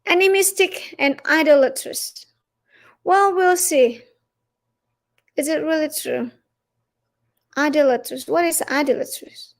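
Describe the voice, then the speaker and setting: A woman with an Indonesian accent presents a religious lesson in a very high-pitched voice. Her delivery is slow and halting, with emphatic stresses. She leans on challenging rhetorical questions as she teaches.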